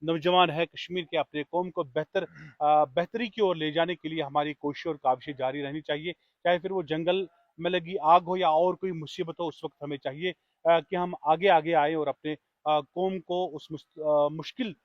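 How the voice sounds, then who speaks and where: A man's voice: on the high side, fairly even intonation, normal speed, no echo, lo-fi sound. A man speaking formally and courteously as a radio host giving a tribute and interview on location. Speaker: radio host presenting an appreciation award.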